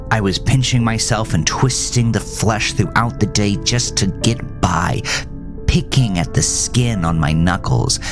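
A man narrating a first-person horror story for a podcast, with dramatic pauses and whispery emphasis. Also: a bass voice, gravelly.

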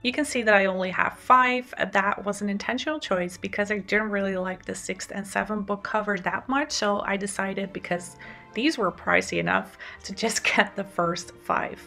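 A woman's voice: medium pitch, fairly even intonation, normal speed, vocal fry, sharp tone, soft background music. A woman in her thirties with a Dutch accent, a native Dutch speaker, presenting casually and enthusiastically as a video host. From Netherlands. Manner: chatty, enthusiastic. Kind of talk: casual presentation to camera and voice-over.

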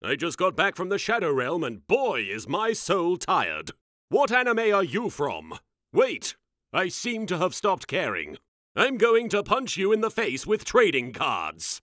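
Arrogant young anime hero, forceful and sweeping delivery, pompous and theatrical, parody style. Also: male voice, high voice, huge pitch swings, unhurried, no room sound, studio quality, full character voice. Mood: haughty, smug. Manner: pompous, theatrical, haughty, over-enunciated. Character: arrogant, pompous young male anime duelist in a recording booth. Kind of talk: comedic parody voice acting. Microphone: close studio microphone.

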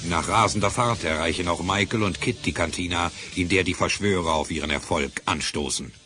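An actor as the storyteller of a German audio drama, giving scripted dramatic narration. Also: male voice, sits low, a little fast, music in the background, close to an everyday voice. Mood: narrating.

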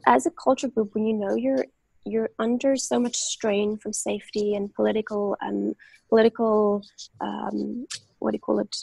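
Afrikaans-speaking South African woman, husky. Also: medium pitch, little pitch movement, moderate pace, warm tone, no room sound, poor audio. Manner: thoughtful, frequent fillers and restarts. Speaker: guest, medical doctor. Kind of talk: casual interview talk. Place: home room on a video call. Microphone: earphone headset mic on a video call.

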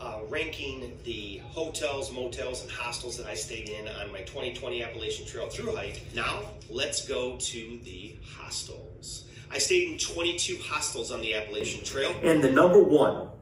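A man's voice: mid-range voice, moderate pace, few pauses. Man, 50s, informal and enthusiastic reviewer; roomy, distant mic with music underneath. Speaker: hiker and video reviewer. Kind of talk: casual vlog review. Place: indoors in living rooms of a house.

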